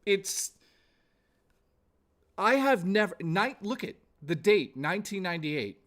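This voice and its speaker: A man's voice: high-pitched, very animated, slow pace, fairly bright tone. A man in his forties chatting casually to viewers as a gaming livestreamer, informal and exclamatory, with some swearing. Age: 40s.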